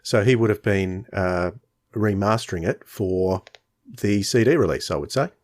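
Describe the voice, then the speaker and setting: A man's voice: fairly low pitch, normal speed, darkish tone, no echo, studio quality. A man hosting a casual discussion podcast in a conversational way. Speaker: podcast host.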